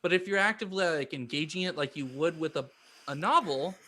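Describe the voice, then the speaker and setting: A man with a higher-than-usual pitch and a bright, forward tone. He sounds like a podcast co-host earnestly arguing a point in casual talk with friends. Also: brisk. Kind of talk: casual debate among friends.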